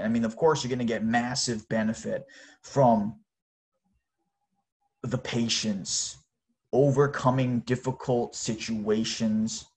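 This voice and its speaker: Chatty man, native Japanese speaker. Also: on the low side. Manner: informal, exclamatory, says "dang" and "man". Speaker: host. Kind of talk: casual chat to listeners.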